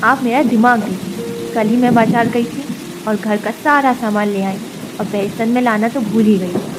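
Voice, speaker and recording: An actress voicing a patient, hurt young wife in an animated story, talking emotionally and pleadingly. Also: female voice, fairly high pitch, moderate pace, background noise, lightly acted. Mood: polite. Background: background music.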